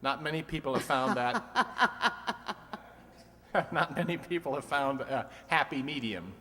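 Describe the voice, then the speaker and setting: A man's voice: medium pitch, measured pace, background noise, natural voice. A man playing a sly, teasing host of an old-time radio quiz show, full of dry wisecracks. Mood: wry. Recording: long hall reverb.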